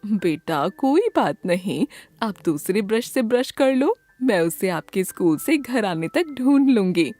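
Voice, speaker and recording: Woman as a gentle cartoon granny, affectionate coaxing, swooping intonation, slightly breathy husk, music behind. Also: mid-range voice, big pitch swings, unhurried, no echo. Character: doting, gentle grandmother coaxing a child. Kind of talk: children's cartoon story dialogue.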